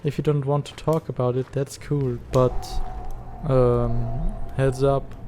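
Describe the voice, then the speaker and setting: A laid-back teenage schoolboy acted by a man in a comic drama. His voice is soft-edged and dark, and his delivery stays fairly level. He sounds dry and sarcastic, a skeptic who still cares. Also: medium pitch, fairly even intonation, slow, slight background noise, lightly acted. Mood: concerned, challenging. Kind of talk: fan dub comic drama acting.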